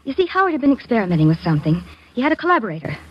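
A woman's voice: on the high side, very animated, racing, slight background noise. A woman playing an earnest, worried young woman who falters as she speaks, in 1940s radio drama style. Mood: troubled, insistent. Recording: muffled 1940s radio recording.

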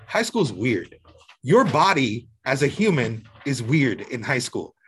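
A man's voice: medium pitch, very animated, a little slow, a little hiss or hum. A man hosting a casual podcast interview, asking probing questions with a teasing, joking manner. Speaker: podcast host and program mentor. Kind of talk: casual interview with students and guest.

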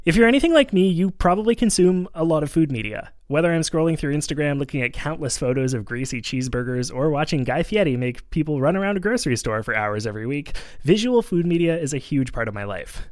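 A man's voice: on the high side, sing-song, fast, no room sound. A man hosting a food podcast from a studio, reading an upbeat scripted intro and then interviewing a guest. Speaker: podcast host.

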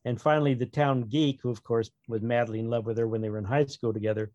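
A man talks casually as an author being interviewed. His manner is wry and rambling, like someone telling stories off the cuff. The voice sits at a middling pitch, recorded dry with very little room sound. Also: fairly even intonation, quick pace, darkish tone, no room sound. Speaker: author, podcast guest. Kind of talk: casual interview chat about own writing.

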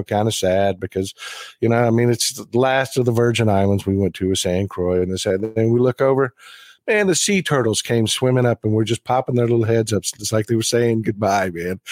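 A man with a cold and a slightly hoarse voice, telling a travel story enthusiastically as a podcast guest. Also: mid-range voice, darkish tone, no room sound, studio quality. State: has a cold, voice a bit hoarse. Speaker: regular guest. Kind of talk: casual podcast chat.

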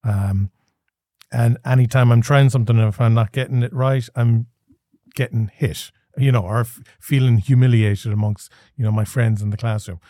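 An Irish man in his forties speaks in a mellow, unhurried register, recorded close and dry. He tells his own story thoughtfully, often restarting mid-phrase and filling pauses. He has the easy, conversational pace of a podcast host. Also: mid-range voice, steady tone, no room sound. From Ireland. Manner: thoughtful, with frequent restarts and fillers.

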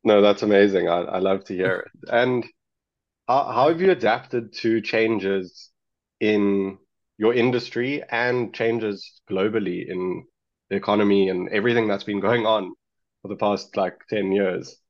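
Lowish male interviewer, slightly dull sound. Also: measured pace. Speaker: interviewer, show host. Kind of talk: conversational interviewing.

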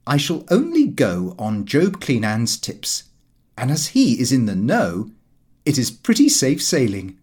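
A man's voice: mid-range voice, wildly swooping pitch, a little slow, a little mellow, slightly rough, full character voice. A man voicing a brash, breezy young clerk's son full of slang and self-assurance in an audiobook. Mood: cocky, persuasive.

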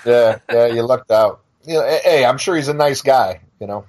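A man's voice: medium pitch, moderate pace, a little mellow. A man in his forties talking casually as a podcast guest, wry and rambling, with some swearing. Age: 40s. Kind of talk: casual chat.

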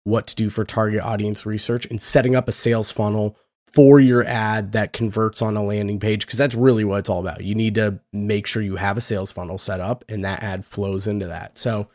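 A young man hosting a casual podcast, his voice hoarse and raspy from yelling, talking loosely and energetically and swearing now and then. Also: fairly low pitch, little pitch movement, fairly quick, dry recording, studio quality. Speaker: podcast host.

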